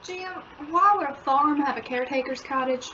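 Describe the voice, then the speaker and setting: A woman acts a patient, worried wife and mother in a vintage-style radio sitcom. Her voice is high, her manner gently chiding. The recording is muffled and very noisy. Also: normal speed, close to an everyday voice. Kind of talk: old-time radio sitcom acting.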